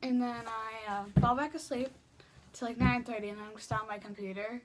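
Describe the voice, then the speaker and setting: A woman's voice: on the high side, steady tone, a little fast, heavy background noise. A teenage girl chatting casually in her bedroom, answering viewer questions with lots of fillers and restarts. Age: teens. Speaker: vlogger. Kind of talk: casual Q and A vlog. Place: small bedroom, indoors. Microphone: laptop webcam mic.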